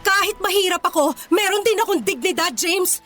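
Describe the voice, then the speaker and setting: A proud, wounded young woman in a radio drama. Her high voice is earnest and emotional, holding on to her dignity over a light music bed. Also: normal speed, pushed and bright. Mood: indignant. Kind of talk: Tagalog radio drama acting.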